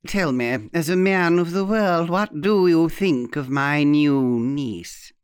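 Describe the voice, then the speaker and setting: A man's voice: fairly high pitch, no room sound, studio quality. A man voicing a sly, theatrical old aunt in an audiobook, suave and falsely sweet with a mocking edge. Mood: sly, probing. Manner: suave, smooth, drawn-out delivery with satirical edge. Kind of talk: dramatic audiobook character reading.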